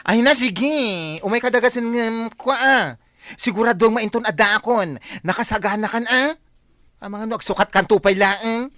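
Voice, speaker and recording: A woman's voice: a little high, normal speed, fairly bright tone, dry recording, studio quality, lightly acted. A woman with an Ilocano accent playing a breezy, bossy young office friend in a radio drama, exclaiming and coaxing. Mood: chatty, bossy.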